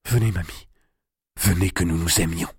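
A man reading an audiobook, voicing an anguished, hot-tempered young medieval king with a high voice that turns shrill in anger. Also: moderate pace, slightly rough, no echo, studio quality, exaggerated voice. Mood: pleading, tender. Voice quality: high, shrill when angry. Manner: pleading, then bursting into rage.

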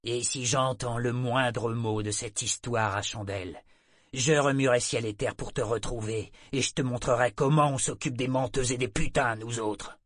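A man voicing a ferocious, threatening ship's second mate in an audiobook, speaking low and with menace. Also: steady tone, moderate pace, dry recording, studio quality, close to an everyday voice. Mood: quiet ferocity. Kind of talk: audiobook character acting.

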